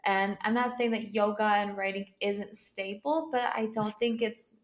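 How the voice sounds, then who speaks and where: A woman's voice: mid-range voice, normal speed. A woman hosting an interview podcast, asking questions and responding in a conversational way. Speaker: podcast host.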